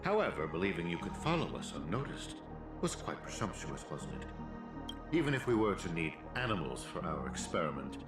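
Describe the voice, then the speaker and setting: A deep, menacing monkey professor. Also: male voice, big pitch swings, brisk. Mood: contemptuous. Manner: condescending, menacing. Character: cold scheming monkey professor villain, male. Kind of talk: video game voice acting. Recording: roomy game audio with loud music.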